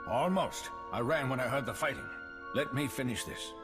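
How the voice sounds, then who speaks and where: An actor playing an anxious civilian official in a video game, earnest and placating in manner. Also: male voice, medium pitch, animated, normal speed, long reverb tail, over music, lightly acted. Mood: focused. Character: anxious male civilian official, pleading and pragmatic appeaser. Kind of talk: scripted game acting.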